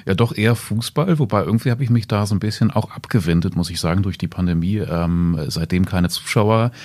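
A male podcast co-host in relaxed conversation. His voice sits very low, and he fills his pauses with plenty of hesitation sounds. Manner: relaxed, filler-heavy. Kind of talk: casual chat.

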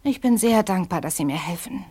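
An actress playing an overwhelmed young granddaughter in a German audio drama, talking in a frank, chatty, slightly flustered way. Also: female voice, on the low side, lively intonation, a little fast, mellow, husky. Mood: flustered, grateful. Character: overwhelmed grieving young granddaughter clearing out an inherited house.

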